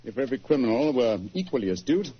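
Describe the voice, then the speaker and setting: An actor playing a brilliant, self-assured British detective with precise, theatrical diction in a 1940s radio drama. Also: male voice, medium pitch, very animated, fast talker, husky. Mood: intense, discoursing. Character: brilliant, self-assured English master detective, amused and incisive. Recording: muffled, noisy 1940s radio recording.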